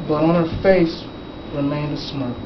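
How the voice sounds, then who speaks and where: A man's voice: fairly high pitch, muffled recording. Man reading aloud in an echoey, noisy room. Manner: conversational, pausing to explain pictures and question the listeners. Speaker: author reading his picture book aloud to children. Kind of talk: storybook read-aloud with casual asides. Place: school classroom, indoors. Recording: echoey, noisy classroom, far from mic. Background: clear room noise with children present.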